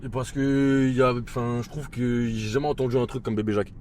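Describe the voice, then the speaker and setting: A man's voice: medium pitch, level tone, fairly quick, darkish tone. A twenty-year-old French man chatting casually and giving his opinions in slangy speech, recorded in a car. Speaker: podcast participant and driver. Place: inside a car on the road. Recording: clearly noisy moving car.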